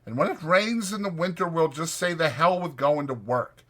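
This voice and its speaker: A man voicing a sharp, irritable but protective ranch drifter, brisk, insistent and colloquial, in an audiobook. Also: fairly high pitch, pitched above the performer's own voice. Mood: dreamy, animated.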